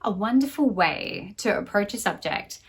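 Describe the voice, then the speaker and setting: A woman's voice: mid-range voice, lively intonation, moderate pace, creaky, husky. A woman in her thirties talking casually to camera as a channel host, giving a review in a small room at home. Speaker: homeschool channel host reviewing a language curriculum.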